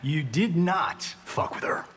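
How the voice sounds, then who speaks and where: A vain American movie star, a man who wisecracks through a fighting game. The voice is high and swooping, unhurried and cocky, in a long reverb. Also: animated, a bit bright. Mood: flippant. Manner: cocky, flippant, slangy. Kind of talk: video game character dialogue. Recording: long hall reverb, faint noise.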